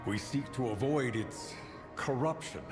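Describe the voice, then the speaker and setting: A man's voice: mid-range voice, measured pace, lightly acted. A man playing an earnest, wary elven ranger in a fantasy video game, speaking formally and urgently. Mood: grave. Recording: echoey hall with loud music.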